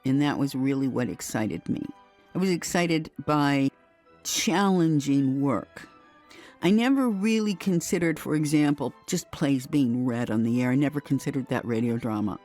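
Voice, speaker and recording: A woman's voice: extremely low pitch, darkish tone. A woman from the United States reflecting in a documentary interview, thoughtful and emphatic. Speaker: interviewee, radio drama producer. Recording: dry, faint music behind.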